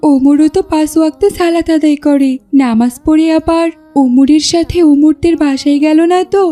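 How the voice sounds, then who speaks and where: A woman's voice: high-pitched, fairly even intonation, moderate pace, mellow, soft background music, close to an everyday voice. A woman voicing a worried, caring wife and mother in a cartoon. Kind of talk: animated cartoon dialogue.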